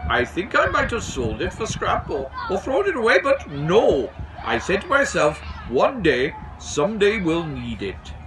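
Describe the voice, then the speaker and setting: Man doing a rambling, high-voiced village baker. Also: wildly swooping pitch, unhurried, few pauses, a crowd in the background, full character voice, low-quality recording. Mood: musing. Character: kindly, rambling, short-sighted male village baker.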